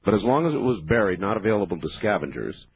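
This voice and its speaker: A man of fifty hosting a late-night call-in radio show, explaining in a measured way with many pauses. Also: fairly low pitch, sing-song, breathy edge, no room sound. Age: 50s. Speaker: radio talk show host. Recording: dull lo-fi radio broadcast.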